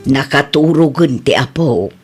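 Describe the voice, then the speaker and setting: A woman's voice: rumbling low, lively intonation, unhurried, loud music behind the voice. A woman playing a kindly, forgiving older mother-in-law in a radio comedy drama, with an Ilocano Filipino accent.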